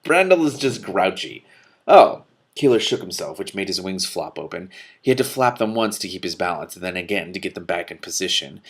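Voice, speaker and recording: A man's voice: deep voice, fast talker, breathy edge. A man reading a novel aloud and breaking off for casual commentary. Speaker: reader and commentator.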